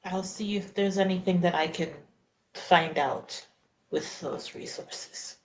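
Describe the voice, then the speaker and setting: A woman's voice: lowish voice, takes it slowly, a little hiss or hum. A woman playing a tabletop roleplaying game, speaking casually and tentatively as she improvises. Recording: roomy echo.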